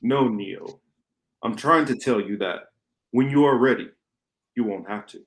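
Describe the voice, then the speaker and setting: A man acting a grave, commanding rebel mentor in an amateur radio play, with deliberate, emphatic phrasing and dramatic pauses. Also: mid-range voice, lightly acted. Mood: solemn, resolute. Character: grave, commanding male rebel mentor lecturing his pupil. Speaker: podcast host reading a radio play.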